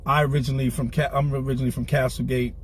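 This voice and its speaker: A man's voice: medium pitch, level tone, racing, slight background noise. A man in his forties talking in a candid interview in a car, earnest and streetwise, often repeating himself. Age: 40s. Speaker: clothing brand founder, guest. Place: inside a car.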